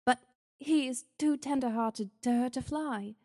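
A man's voice: extremely high pitch, a little slow, a little mellow, in a large hall, pitched above the performer's own voice. A man reading an audiobook, voicing an eager young woman who pleads breathlessly and insistently. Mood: pleading. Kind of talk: audiobook character voice.

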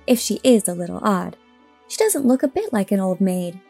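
A woman's voice: medium pitch, big pitch swings, normal speed, creaky, warm tone, slightly rough, soft background music, heavily acted. A woman reading as a curious, admiring teenage girl in a storybook. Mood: eager.

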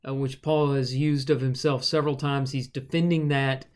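A man with a thin, reedy voice, co-hosting a podcast and explaining Bible passages in a thoughtful, unhurried way, with frequent fillers. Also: mid-range voice, flat delivery. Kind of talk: casual teaching conversation.

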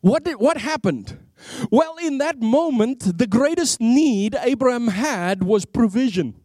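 Evangelist, male, high voice, unhurried emphatic sermon delivery, dry close sound. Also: expressive, warm tone, dry recording. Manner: emphatic, repeats words, swings between rising and low dropped phrases; explains Hebrew names of God with rhetorical questions. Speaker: evangelist preacher.

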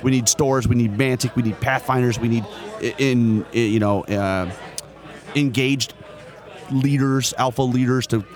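A man hosting a podcast, interviewing a guest in a quick, chatty and eager way. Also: mid-range voice, fairly even intonation, a little mellow. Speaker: podcast host. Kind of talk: casual interview. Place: convention hall.

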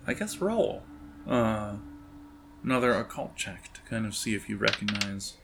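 Male game master, gravelly and lowish, improvised descriptive narration, some noise on the recording. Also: big pitch swings, fairly bright tone. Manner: halting, descriptive delivery.

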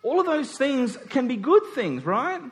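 A man's voice: very high voice, big pitch swings, measured pace. A man preaching a conversational sermon in an informal way, acting out dialogue in everyday words. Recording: long hall reverb.

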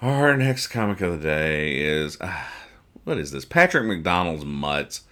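A man hosting a podcast, giving casual comic commentary with mock-serious, exasperated deadpan nitpicking. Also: sits low, a little slow. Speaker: podcast host. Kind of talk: comedic casual commentary reading comic strips aloud.

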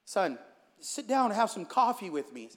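A man's voice: sits high, sing-song, normal speed, fairly bright tone. Man acting out a patient, insistent old grandfather, reverberant. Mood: patient insistence.

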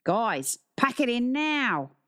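A young Australian games teacher, played by a woman narrator in a children's audiobook. He is breezy and slangy, friendly even as he takes command of a group of pupils. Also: mid-range voice, moderate pace, pitched above the performer's own voice, close to an everyday voice. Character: young Australian male sports teacher, stocky, breezy and matey.

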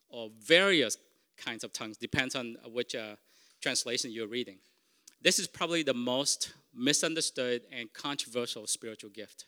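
A man's voice: medium pitch, sharp tone. A middle-aged man whose first language is Vietnamese, preaching an informal sermon in a conversational, self-correcting way and checking in with the congregation. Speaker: pastor.

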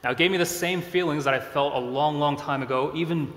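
A man in his thirties, originally from Korea, preaching a sermon in a relaxed, conversational way with self-deprecating asides. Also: fairly high pitch, quick pace. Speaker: pastor. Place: church sanctuary. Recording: echoey church hall.

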